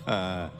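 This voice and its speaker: An actor playing a gloating, mocking villain in a video game, speaking in a theatrical, drawn-out way. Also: male voice, low voice, heavily acted. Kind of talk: video game character acting. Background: background music.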